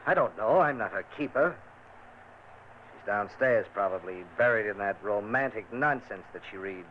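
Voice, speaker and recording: A man's voice: lowish voice, lively intonation, moderate pace, lightly acted. A man playing a stern, impatient nobleman in a vintage radio play, clipped and stammering when pressed. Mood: irritable. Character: stern, uneasy nobleman brother, impatient and evasive. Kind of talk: 1940s radio acting. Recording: dull old radio transcription with faint hiss.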